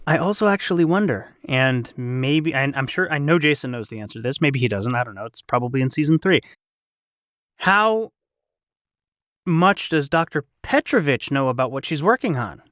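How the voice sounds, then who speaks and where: A man's voice: mid-range voice, expressive, moderate pace, a bit bright, dry recording, clean studio sound. A man in a casual panel discussion, thinking aloud as he analyzes and explains. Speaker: cast member in a behind-the-scenes discussion.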